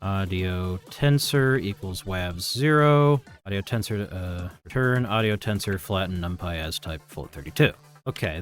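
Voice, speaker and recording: A man chatting casually as he codes on a livestream, muttering to himself and grumbling with some swearing. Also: fairly low pitch. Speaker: livestreaming programmer. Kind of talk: casual chat while live coding.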